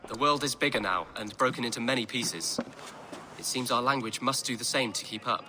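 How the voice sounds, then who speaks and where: An actor playing a friendly, reflective Viking traveler in a video game, speaking genially. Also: male voice, mid-range voice, brisk, noisy background, lightly acted. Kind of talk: video game cutscene acting.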